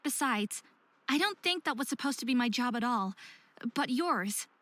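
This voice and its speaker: Casting: a desperate, earnest young half-faerie for a narrative game; high-pitched, dry close sound. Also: female voice, animated, normal speed, a bit bright, dry recording. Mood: anguished. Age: young adult. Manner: earnest, emotional delivery. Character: troubled young hybrid faerie woman, self-hating, desperate, earnest. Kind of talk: visual novel voice acting.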